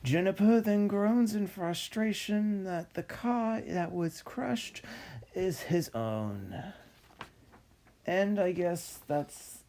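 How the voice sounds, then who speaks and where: Stuffy, snobbish male narrator played by a man for comedy web series: pompous, droning, unhurried, mellow tone. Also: high voice, expressive, slight background noise, exaggerated voice. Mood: pompous, storytelling. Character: snobbish, self-deprecating stuffy narrator of cancelled film scripts. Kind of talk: scripted narration.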